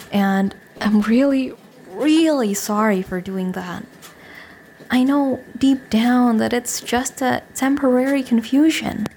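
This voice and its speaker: A woman's voice: on the high side, darkish tone, slight background noise. A woman playing a flustered, smitten young woman in an audio drama, hesitant and earnest, apologetic and self-deprecating. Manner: hesitant, nervous, earnest confession. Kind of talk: audio drama acting, naturalistic dialogue.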